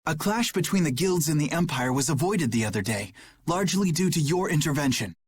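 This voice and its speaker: A man voicing an earnest, dutiful young knight in an anime video game, speaking formally and politely with a slightly husky voice. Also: a little high, animated, brisk. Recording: background music behind the voice.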